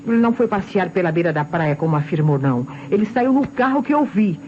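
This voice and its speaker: An actress playing an agitated, defensive wife under police suspicion, with a Brazilian accent, in an old radio detective drama. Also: female voice, on the low side, expressive, quick pace, a little mellow, natural voice. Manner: emphatic, protesting. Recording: dull old radio recording, faint noise.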